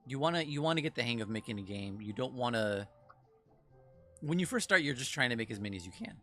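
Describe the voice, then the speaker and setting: A bright, forceful-voiced man chats loosely as a guest developer, restarting sentences and chuckling as he goes. He sounds like he is on a remote call mic, with faint music playing behind him. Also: medium pitch, expressive, quick pace. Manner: rambling, self-interrupting, laughs often. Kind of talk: casual stream chat.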